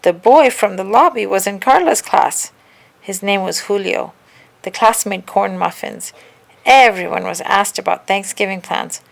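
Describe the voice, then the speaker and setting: Slow, slightly creaky woman reading a storybook. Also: mid-range voice. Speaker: children's read-aloud narrator. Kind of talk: expressive scripted storybook narration.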